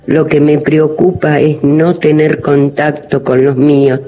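A woman's voice: low voice, little pitch movement, measured pace, mellow, faint music behind. An older woman with grandchildren, speaking in short, sober, heartfelt phrases. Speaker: older caller. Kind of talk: recorded personal testimony. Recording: muffled phone recording.

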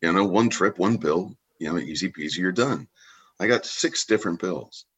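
A man's voice: low-pitched, normal speed, fairly bright tone, husky. A man interviewing in a conversational style, asking questions and sharing his own experience. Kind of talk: conversational interviewing with personal anecdotes.

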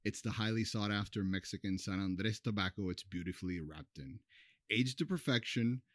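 A man co-hosting a podcast who reads a smooth scripted ad and chats about drinks. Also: fairly low pitch, moderate pace, dry recording, clean studio sound. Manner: smooth scripted ad read, then relaxed banter. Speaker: podcast co-host. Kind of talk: read ad, casual chat.

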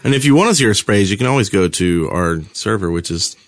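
A man's voice: fairly low pitch, lively intonation, fast talker, very noisy. A man co-hosting a casual gaming podcast in an enthusiastic, joking way. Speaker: podcast co-host.

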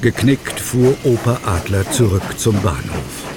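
A man's voice: lowish voice, animated, unhurried, noisy background. A man narrating a scripted children's audio drama as a storyteller.

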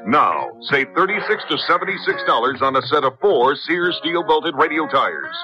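Old 70s radio announcer guy, very lively pitch, polished, music behind, lo-fi. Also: moderate pace. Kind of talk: scripted 1970s radio announcing and ad read. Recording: dull lo-fi 1970s radio broadcast.

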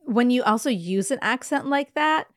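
A woman with a fairly high voice, recorded dry and close, co-hosts a casual podcast. She speaks informally and gives her opinions plainly. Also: lively intonation, moderate pace, no echo. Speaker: podcast co-host. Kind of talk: casual chat.

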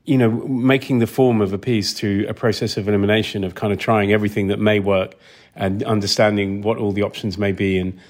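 Lowish-pitched man, halting and reflective. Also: fairly even intonation, a little mellow. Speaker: film sound designer, interview guest. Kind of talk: casual, reflective interview talk.

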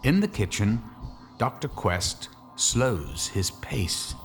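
An actor serving as the dramatic narrator of a scripted radio horror tale. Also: male voice, fairly low pitch, huge pitch swings, slow, full character voice. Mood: suspenseful. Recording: long reverb with music behind.

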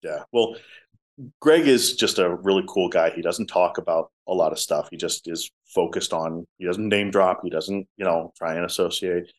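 A man with a low voice, a musician telling stories in a casual interview. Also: normal speed. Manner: talkative storyteller, drops to soft tones. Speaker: musician guest.

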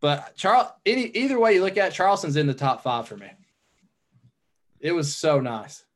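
A man's voice: a little high, animated, normal speed. A young man with a Southern US accent, chatting easily as a guest on a podcast. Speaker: podcast guest, pro pitcher. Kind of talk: casual podcast chat.

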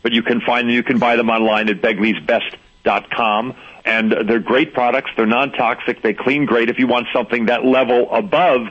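A man's voice: medium pitch, steady tone, moderate pace, a bit bright. An older man speaking as a radio interview guest, earnest and persuasive, chuckling now and then. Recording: dull lo-fi radio sound.

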